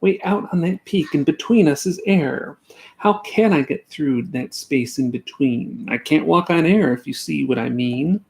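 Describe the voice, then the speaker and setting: A man's voice: a little high, a little slow, creaky, a little mellow. A man voicing a tired, honest elephant pleading his case in a bedtime storybook reading. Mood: moaning.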